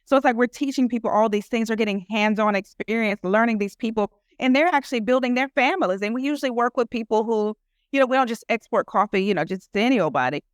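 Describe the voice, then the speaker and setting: A woman's voice: a little high, fast, no echo, clean studio sound. A woman guest in a casual interview who talks earnestly about her work, rambling and filling her speech with "you know". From United States. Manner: earnest, rambling with many fillers. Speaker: guest entrepreneur.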